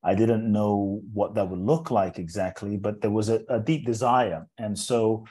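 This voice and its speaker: Earnest low-voiced man telling his story. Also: steady tone, mellow, breathy edge. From England. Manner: earnest and measured, repeating words as he builds a thought. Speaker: inspirational speaker and interview guest. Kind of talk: reflective personal storytelling in an interview.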